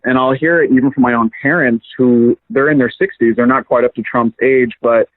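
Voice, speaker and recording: A man's voice: medium pitch, dry recording. A man in his thirties calling in to a show, making an earnest, argumentative case. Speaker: caller. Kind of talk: call-in commentary. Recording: tinny phone line.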